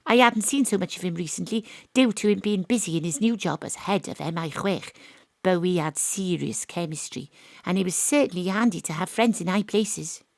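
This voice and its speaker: An actress playing a wry, cocky woman detective who narrates her case in a deadpan, confiding audio drama style. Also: lowish voice, normal speed, creaky, no echo.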